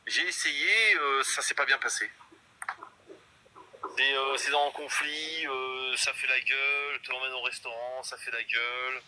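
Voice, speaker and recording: A man's voice: medium pitch, fairly even intonation, measured pace, pushed and bright, a little hiss or hum, poor audio. A man in his fifties in a casual live chat, boastful and rambling, full of hesitations. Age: 50s. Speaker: guest in a live stream chat.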